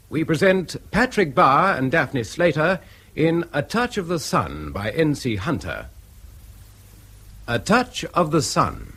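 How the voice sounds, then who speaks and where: A man's voice: on the high side, a bit bright, breathy edge. A man announcing programmes formally in mid-century radio style, with a Received Pronunciation accent. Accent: English Received Pronunciation.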